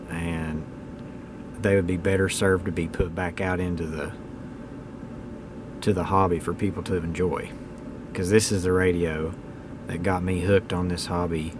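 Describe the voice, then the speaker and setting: Low, even-voiced man talking casually. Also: noisy background. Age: 30s. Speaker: hobbyist video presenter. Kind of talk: casual unscripted product talk. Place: indoors at home.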